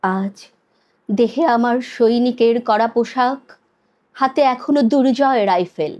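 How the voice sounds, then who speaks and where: A woman gives a dramatic poetry recitation in a somewhat high voice. She takes her time, moving very slowly through each phrase. Her delivery is solemn and measured, with deliberate pauses. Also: darkish tone, lo-fi sound.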